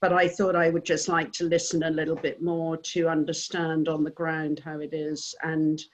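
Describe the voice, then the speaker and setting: A woman from the UK talks on a video call in a low voice with flat intonation. Her delivery is measured and reflective. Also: a little hiss or hum. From United Kingdom. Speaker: attendee, past association president. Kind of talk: casual remarks on a video call.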